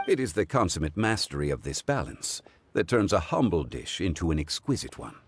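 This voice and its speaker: An actor playing a calm, courteous, scholarly consultant in a game, speaking in a measured, formal, eloquent manner. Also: male voice, sits low, background noise. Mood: pensive, expert. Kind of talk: animated game voice acting.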